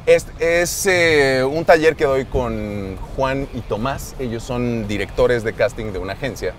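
A man with a Mexican accent, a voice actor and announcer, explaining his work in a relaxed chat as a passenger in an open-top car. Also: medium pitch, measured pace, a little hiss or hum. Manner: easygoing, explains patiently with many fillers. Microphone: car-mounted camera.

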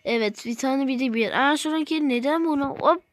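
A very high-pitched voice delivers let's play commentary in a dry, close recording. The delivery rambles and exclaims, repeating phrases over and over while addressing the viewers directly. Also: a little fast, dry recording. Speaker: video game YouTuber.

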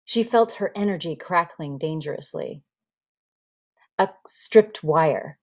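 A woman in her forties, an actor, giving an expressive literary reading on a video call. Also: sits low, takes it slowly. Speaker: actor reading a memoir excerpt. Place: room with folding screen, indoors. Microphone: video call computer microphone.

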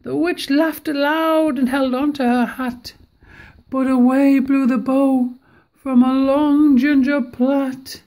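A woman reading a picture book aloud at home in an expressive, dramatic way, recorded on a phone held over the book. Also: high-pitched, slow. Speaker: storybook reader.

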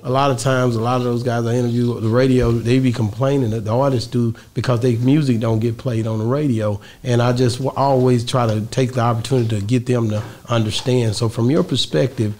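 A middle-aged man hosts a casual podcast interview. His pitch is average, and he tends to repeat short phrases. He speaks into a close studio mic. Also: steady tone, fairly quick, a little mellow. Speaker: podcast host.

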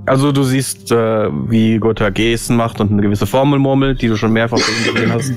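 A man's voice: medium pitch, fairly even intonation, fairly quick. Casual male tabletop player, faint music behind. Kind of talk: casual in-game chat and narration.

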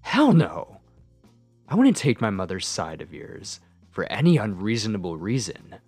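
A man's voice: lowish voice, big pitch swings, unhurried, darkish tone, slightly rough, dry recording, soft background music, full character voice. A man playing a shy, obsessive lovestruck man on a date in an ASMR roleplay, speaking in a hesitant, intimate, flustered way. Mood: intense, devoted.

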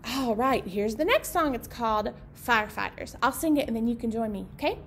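Woman in her thirties, high-pitched, very animated, children's librarian, encouraging, read-aloud style, background noise. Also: a little fast. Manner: encouraging, simple child-directed phrasing. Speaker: children's librarian, storytime host. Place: indoor library program room.

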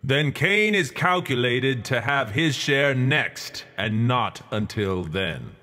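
A man performing a dramatic reading as a stern, resolute 19th-century religious leader, orating in a formal, measured, declamatory manner. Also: medium pitch, animated, sharp tone. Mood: stern, insistent. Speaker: reader.

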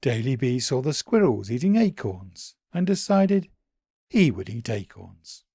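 A man narrating a children's picture book in an expressive, dramatic way. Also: mid-range voice, unhurried, breathy edge, dry recording, clean studio sound. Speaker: author reading own picture book.

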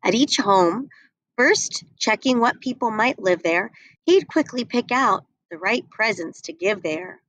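A woman's voice: medium pitch, lively intonation, unhurried, a bit bright, dry recording, rough recording. A young woman reading a picture book aloud, expressively, on a webcam at home. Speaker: reader.

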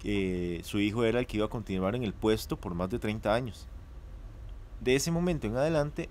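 A man's voice: medium pitch, darkish tone, a little hiss or hum, close to an everyday voice. An actor playing a polite young male music student with a Costa Rican accent, explaining things in a radio drama.

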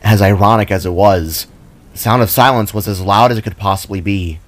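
A man with a fairly low voice narrates a fantasy story. His delivery is scripted and dramatic, in the manner of an audiobook. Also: a little fast. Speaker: author and narrator.

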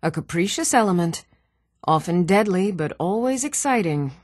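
Even-tempered fantasy townswoman, lively and dry. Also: lowish voice, normal speed, no room sound. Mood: approving. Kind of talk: video game character dialogue.

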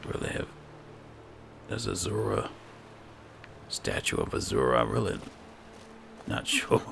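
A man with a very creaky, low voice mutters his way through casual game commentary. His pitch moves around a lot, and there is clear noise behind him. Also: huge pitch swings, a little slow. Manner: muttering to himself, thinking aloud. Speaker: gamer narrating a playthrough.

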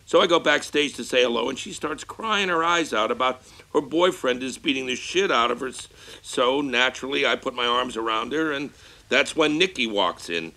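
A man's voice: mid-range voice, lively intonation, moderate pace, sharp tone, rough recording. A man playing a brash, reckless showbiz husband. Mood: defensive. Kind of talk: scripted audio drama monologue.

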